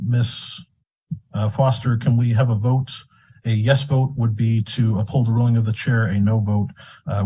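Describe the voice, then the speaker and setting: A man's voice: lowish voice, mellow, breathy edge, low-quality recording. A man chairing a formal board meeting, speaking politely but firmly in procedural language.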